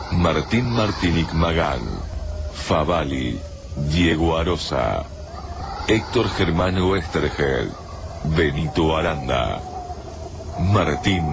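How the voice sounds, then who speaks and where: Male radio announcer, extremely deep, measured pace, Argentine accent, credits over loud music. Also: background noise. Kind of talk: scripted radio credits and promo.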